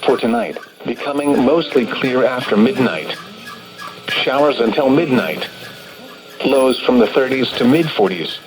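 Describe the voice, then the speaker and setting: A man's voice: medium pitch, animated, unhurried, over music. A man's synthetic, automated voice reading a formal scripted weather forecast bulletin as an announcer over the radio. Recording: noisy weather radio broadcast.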